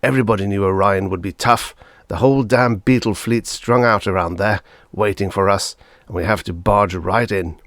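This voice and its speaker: A male audiobook reader voicing an angry, bitter, hawkish father with savage, forceful outbursts. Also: on the low side, steady tone, normal speed, dry recording, studio quality. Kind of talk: audiobook character voice.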